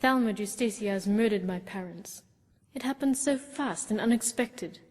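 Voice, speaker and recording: A woman's voice: medium pitch, normal speed, a little mellow, big-room sound, close to an everyday voice. A woman voicing a spirited, outspoken young elf adventurer in a fantasy video game. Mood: proud, matter-of-fact.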